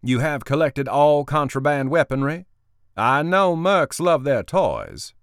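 A man voicing an irritable, wealthy male tech boss who is brash, impatient and quick to swear. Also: on the high side, very animated, smooth, no echo, clean studio sound, voice pushed up. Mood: brisk.

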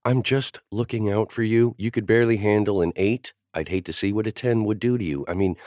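A man voicing a sharp-tongued, competitive grown woman who talks in a snippy, wisecracking way. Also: fairly low pitch, a little fast, no room sound, studio quality, voice pushed up, close to an everyday voice.

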